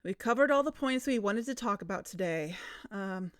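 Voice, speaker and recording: An actress playing a worried, guarded amateur investigator, speaking hesitantly in a naturalistic audio drama. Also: female voice, medium pitch, expressive, normal speed, natural voice. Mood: uneasy, resigned.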